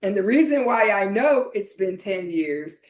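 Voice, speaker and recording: Mature woman podcast host, slow-paced, informal and unscripted, mid-range voice. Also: medium pitch, expressive. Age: middle-aged or older. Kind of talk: conversational podcast introduction and interview.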